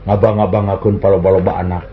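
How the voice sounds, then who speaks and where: A man telling comic folk tales and jokes, doing quick voices for the characters in his stories. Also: sits low, level tone. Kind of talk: comic storytelling in a duo. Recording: dull lo-fi recording with faint hiss.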